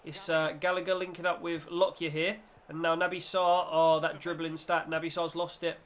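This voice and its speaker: A man's voice: on the high side, flat delivery, normal speed, a bit bright. A man hosting a gaming video with casual, chatty football commentary in informal British slang, slightly nasal from a cold.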